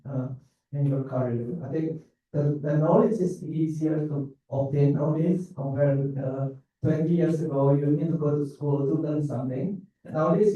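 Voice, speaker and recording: A man from Indonesia speaking on a panel, pausing and restarting phrases often in loose non-native grammar. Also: a little high, mellow. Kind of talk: panel discussion. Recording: echoey hall, mic at a distance.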